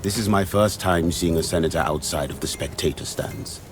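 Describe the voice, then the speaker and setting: An actor playing a grim, blunt gladiator from Mauretania, terse and solemn, in a role-playing game. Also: male voice, low voice, normal speed, lightly acted. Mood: stoic. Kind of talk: scripted game dialogue.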